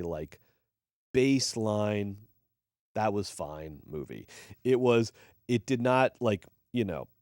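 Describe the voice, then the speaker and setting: Guy on a movie podcast, kinda deep, swingy pitch, blunt and swears a lot, dry close sound. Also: darkish tone, clear, resonant tone, no echo. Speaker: podcast co-host reviewing films. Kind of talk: casual opinionated movie podcast chat.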